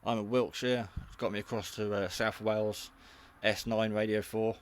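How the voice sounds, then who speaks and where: A man's voice: on the low side, flat delivery, moderate pace. A man giving a casual, unscripted hobby radio review in a rambling, matter-of-fact, modest way.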